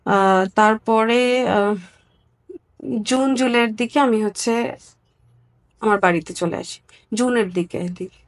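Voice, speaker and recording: A woman from Bangladesh giving a personal account as an interview guest, candid and somewhat halting. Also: mid-range voice.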